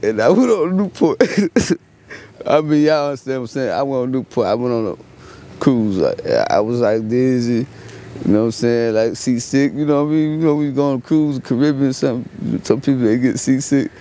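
Laid-back Texan man, late thirties, mellow tone, loose and rambling, recorded outside with faint traffic. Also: medium pitch, normal speed. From Fort Worth, Texas. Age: late 30s. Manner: laid-back rambling, frequent "you know what I'm saying". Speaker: interviewee. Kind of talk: casual street interview. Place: outdoors at dusk in a residential yard. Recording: faint outdoor street noise.

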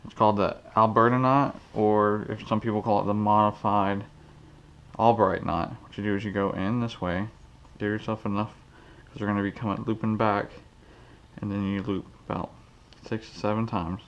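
A man's voice: on the low side, flat delivery, moderate pace, fairly bright tone, lo-fi sound. A man hosting a fishing channel, casually demonstrating a knot in his garage while counting the steps aloud. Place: cluttered garage, indoors. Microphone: head-mounted action camera.

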